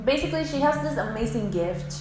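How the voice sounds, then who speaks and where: A woman's voice: on the high side, lively intonation. A young woman from the who speaks English, chatting in a giggly, casual vlog style from her apartment. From Dominican Republic. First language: Spanish. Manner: chatty, giggly, quick asides. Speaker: vlogger. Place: apartment room. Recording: echoey room with background music.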